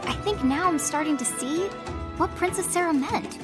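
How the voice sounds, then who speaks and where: A woman playing a sensible, sharp-tongued young heroine in a video game, articulate and slightly prim. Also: high-pitched, moderate pace, natural voice. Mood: wary. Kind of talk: video game cutscene acting. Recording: music clearly behind the voice.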